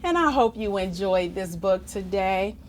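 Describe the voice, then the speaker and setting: A woman reading a rhyming children's book aloud in an expressive, theatrical way, with chatty asides to young listeners. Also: medium pitch, unhurried, a little hiss or hum. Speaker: storybook reader.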